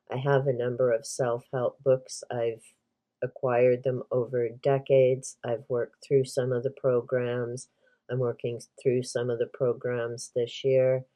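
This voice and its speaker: A woman whose first language is Hungarian, hosting a book tag vlog in an earnest, measured way. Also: very deep voice, little pitch movement, mellow. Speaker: book channel host.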